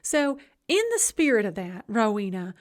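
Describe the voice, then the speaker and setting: A woman's voice: medium pitch, very animated, moderate pace, close to an everyday voice. A woman voicing a falsely sweet, condescending female college administrator who talks in a sing-song lilt. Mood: sugary.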